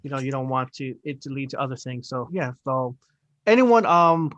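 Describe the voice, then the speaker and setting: A man's voice: mid-range voice, animated, normal speed, fairly bright tone, dry recording, highs cut off. A man in his forties hosting a casual podcast chat, talking in a rambling, upbeat way with lots of fillers. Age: 40s. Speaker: podcast host.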